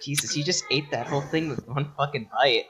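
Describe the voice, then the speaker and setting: A man's voice: medium pitch, brisk, fairly bright tone, slight background noise, lightly acted. A man voicing an exasperated, foul-mouthed young female wizard, rambling through an improvised comedy fandub. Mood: flustered. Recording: voice over background music.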